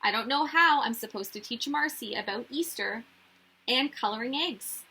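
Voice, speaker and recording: A plain-spoken tomboy from a storybook, played by a woman reader, good-hearted but easily exasperated. The voice is husky and bright, pitched on the high side. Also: moderate pace, exaggerated voice, rough recording. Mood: worried, downcast. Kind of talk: children's storybook read-aloud.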